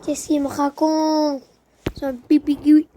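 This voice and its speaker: A woman giving casual, excitable gaming commentary, full of slang and repeated phrases. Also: high-pitched, a little slow, a little hiss or hum. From Quebec, Canada. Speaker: gaming video host.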